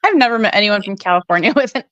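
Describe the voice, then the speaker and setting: A woman's voice: mid-range voice, expressive, very fast, fairly bright tone, dry recording, highs cut off. A woman in a casual chat as co-host, asking curious questions and telling stories with giggles.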